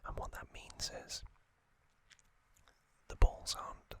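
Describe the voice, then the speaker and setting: A man narrating trivia as an ASMR host in a gentle, breathy, deliberate whisper.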